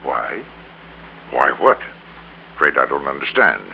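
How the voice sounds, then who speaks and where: A man's voice: extremely low pitch, big pitch swings, a little slow, creaky, full character voice. An actor playing a greedy, cornered businessman who turns evasive and self-pitying in a 1950s radio drama. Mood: feigned innocence. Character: cornered, greedy male businessman, evasive, then confessing murderer. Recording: muffled old radio recording, hum, hiss.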